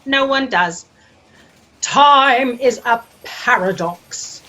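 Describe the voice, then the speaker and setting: A woman's voice: fairly high pitch, expressive, extremely slow, pushed and bright, full character voice, rough recording. A woman playing an imperious, exasperated boss of a cosmic office in a comedic audio drama, speaking in haughty declarations. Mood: lofty.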